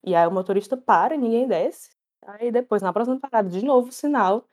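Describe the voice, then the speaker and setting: A young woman telling stories in a casual chat, animated and laughing often. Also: fairly high pitch, a little fast. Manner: animated, laughs often, acts out quoted voices. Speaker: storyteller guest.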